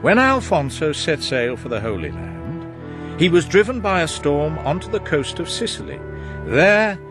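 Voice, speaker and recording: An actor playing a scheming, self-satisfied friar in a gothic radio drama, speaking in a theatrical, insinuating way with a husky voice. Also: male voice, medium pitch, lightly acted. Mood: solemn, revealing. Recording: echoey radio play with music bed.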